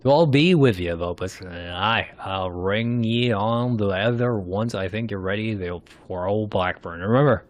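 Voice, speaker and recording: Male reader as salty, kindly game sailor, lowish voice, folksy dialect, fatherly and a bit halting. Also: dry recording, close to an everyday voice. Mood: earnest, encouraging. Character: gruff, friendly seafaring man, folksy and fatherly.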